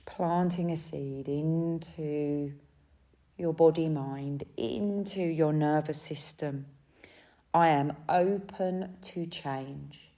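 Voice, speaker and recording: A woman with a low, soft-edged voice guides a deep relaxation practice. She speaks very slowly and gently, leaving space between short phrases. Her tone is dark and mellow, soothing the listener through each step. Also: steady tone. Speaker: yoga nidra guide.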